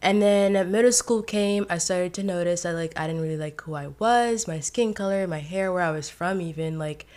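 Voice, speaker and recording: Young woman from Haiti, podcast guest, lower voice, candid and easygoing with frequent laughs. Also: normal speed, few pauses, a little mellow. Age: 20s. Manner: candid, laughs often, many "like" fillers. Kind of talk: casual personal story.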